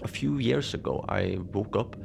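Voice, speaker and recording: A man playing a mild, unbothered middle-aged station manager in a cozy audio drama, confiding in a halting, rambling, understated way. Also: fairly low pitch, creaky, background noise. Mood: wry storytelling. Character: mild, unbothered lone Antarctic night-shift station manager. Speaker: podcast fiction narrator, lone facility manager on radio.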